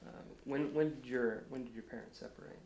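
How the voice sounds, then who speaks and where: A man interviewing for an oral history, asking loosely phrased questions in a halting, conversational way. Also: medium pitch, darkish tone. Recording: echoey room with faint noise.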